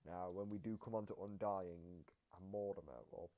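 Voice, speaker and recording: A man with a deep, mellow voice gives casual commentary as the host of a reaction video. He explains game lore haltingly, often letting a thought trail off before picking it up again. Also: a little hiss or hum.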